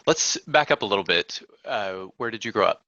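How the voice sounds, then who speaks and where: A man's voice: fairly low pitch, expressive, normal speed, pushed and bright, no echo, muffled recording. A man hosting a podcast interview, asking questions in a curious, casual way. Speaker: podcast host.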